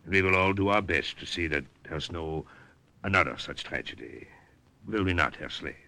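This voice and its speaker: An actor playing an officious, menacing German doctor in old-time radio drama, insistent and falsely solicitous, with a German accent. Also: male voice, deep voice, lively intonation, normal speed, gravelly, bright, forceful tone, exaggerated voice. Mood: firm, menacing.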